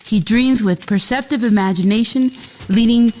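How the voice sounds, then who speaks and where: A woman's voice: on the high side, normal speed, a little mellow, slight background noise, lightly acted. A woman playing an inquisitive 1930s newspaper reporter in a scripted radio theater drama. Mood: solemn, admiring.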